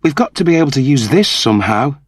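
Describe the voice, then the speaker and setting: A man voicing a calm, sensible young woman crew member in a sci-fi audiobook, soothing and practical in manner. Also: medium pitch, fast, husky, no echo, clean studio sound, pitched above the performer's own voice. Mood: intrigued, determined.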